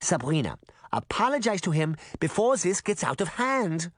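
Man playing a high-voiced, scolding old grandmother. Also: sing-song, normal speed, slightly rough, no room sound, clean studio sound, heavily acted. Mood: pleading. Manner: scolding, imploring. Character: firm, exasperated elderly grandmother scolding her family. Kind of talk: children's fantasy audiobook character.

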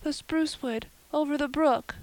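A woman's voice: fairly high pitch, lively intonation, normal speed, dry recording, pitched above the performer's own voice, natural voice. A woman voicing an imaginative, earnest young storybook girl who gushes and pleads in wordy, romantic speeches. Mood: dramatic, fearful.

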